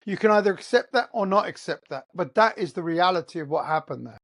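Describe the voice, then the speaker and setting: A man's voice: sits high, expressive, quick pace, a bit bright. A man with an English accent, hosting a heated solo monologue, blunt and profane, firing off rhetorical questions. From England. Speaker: host. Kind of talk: ranting monologue.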